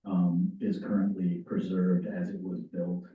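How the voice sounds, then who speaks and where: A man giving a formal budget update at a public meeting, in measured, formal phrasing. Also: low voice, little pitch movement. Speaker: staff member giving a budget report. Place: council meeting room. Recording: echoey chamber, distant tinny mic.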